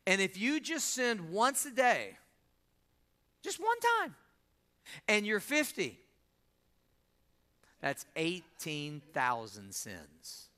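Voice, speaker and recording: A pastor, preaching a sermon and drawing answers from the congregation. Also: male voice, sits high, big pitch swings, slow, pauses often, pushed and bright. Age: 50s. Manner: call-and-response preaching, asks the congregation to answer.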